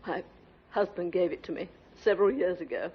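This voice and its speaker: An actress playing a jealous, haughty young society woman prone to shrill, hysterical laughter in a 1950s radio drama. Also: a little fast, husky. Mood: defiant. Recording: dull old 1950s radio recording.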